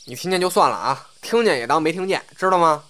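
A man's voice: a little high, lively intonation, fast talker, fairly bright tone. A man acting a bashful, grumbling young crosstalk apprentice in an audio drama. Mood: flustered. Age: young adult. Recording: background music under the voice.

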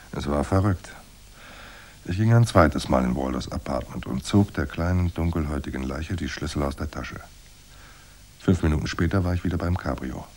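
An actor playing a hard-boiled private detective in a noir radio drama, dry, laconic and sardonic. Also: male voice, rumbling low, darkish tone, husky, heavily acted. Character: hard-boiled male private detective narrating, wry and unflappable. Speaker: narrator and lead actor.

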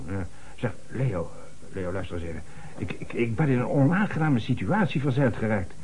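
An actor playing an agitated, self-important small-town notary on the telephone in a Dutch radio play, flustered and stammering. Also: male voice, mid-range voice, animated, moderate pace, a little mellow, a little hiss or hum, close to an everyday voice. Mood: uneasy, pleading.

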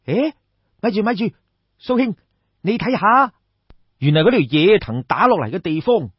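A man's voice: sits high, sing-song, normal speed, slightly rough, no echo, muffled recording, exaggerated voice. A man with a Cantonese accent voicing a brash, crafty young schemer, exclamatory and coaxing, in a storybook tale. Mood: excited.

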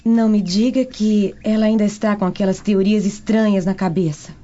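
A woman playing a lively, teasing Roman noble daughter of twenty in a radio drama. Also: mid-range voice, moderate pace, close to an everyday voice. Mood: dismayed. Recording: dull lo-fi audio.

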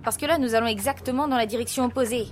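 An actress playing an earnest, determined sister and amateur investigator in an audio drama. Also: female voice, fairly high pitch, racing, a little hiss or hum, close to an everyday voice. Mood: urgent, persuasive. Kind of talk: French audio drama narration and acting.

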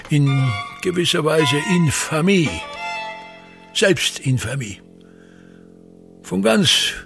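An actor playing a vain, embittered older theatre actor and playwright in a radio play, domineering and declamatory, with an Austrian accent. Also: male voice, high voice, vocal fry, bright, forceful tone, heavily acted. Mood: bitter, declamatory. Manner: theatrical, declamatory, haranguing, repeats words.

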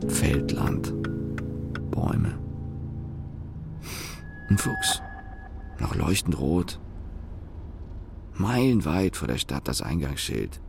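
A man's voice: very deep voice, creaky, a little mellow, full character voice. An actor playing a bitter, cynical working man who mutters his thoughts in clipped, cursing fragments in a radio play. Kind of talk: radio play interior monologue.

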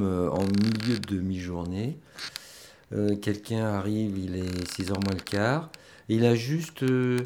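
A man's voice: on the low side, vocal fry, a little mellow, a little hiss or hum. A man talking informally and hesitantly, in an anecdotal way, like a volunteer mechanic interviewed for an audio documentary. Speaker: volunteer bicycle mechanic at a community repair workshop.

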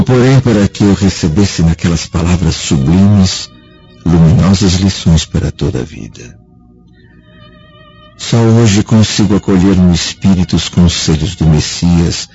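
Deep, husky, remorseful Roman senator. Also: male voice, warm tone, heavily acted. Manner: solemn, declamatory, rueful. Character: remorseful aging Roman senator, solemn and reflective father. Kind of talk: Brazilian radio drama acting. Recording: dull lo-fi radio drama recording, music.

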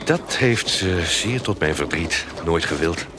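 Very deep, gruff companion. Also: male voice, expressive, unhurried. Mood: candid. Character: gruff, devoted male companion, roguish trader. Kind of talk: Dutch radio drama acting. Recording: clear background ambience noise.

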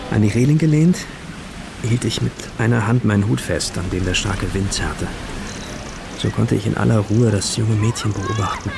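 An actor playing a lovestruck young male student who tells his story in hushed, hesitant literary narration for a radio play. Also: on the low side, vocal fry, noisy background. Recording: radio drama production with music behind.

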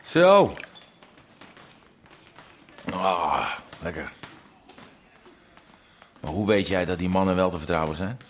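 Low, grumbling criminal henchman. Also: animated, slow pace, pauses often, a little hiss or hum. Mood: sceptical, grumbling. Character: grumbling, distrustful gangster's henchman. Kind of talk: Dutch radio drama acting.

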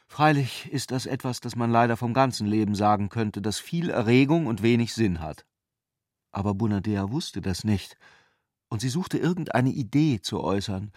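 A man reads literary radio drama narration in a measured, composed way. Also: medium pitch, no echo, clean studio sound. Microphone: close, dry studio microphone.

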